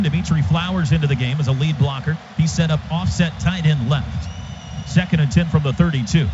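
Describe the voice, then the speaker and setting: A man with a fairly high voice calls an American football game play by play. His phrasing is clipped and descriptive, as on live radio. The broadcast sound is dull, with clear stadium noise behind him.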